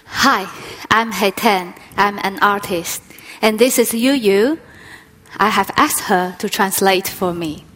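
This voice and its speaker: A woman speaking as an onstage translator, giving a rehearsed talk in a measured way. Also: medium pitch, a bit bright. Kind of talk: scripted stage talk.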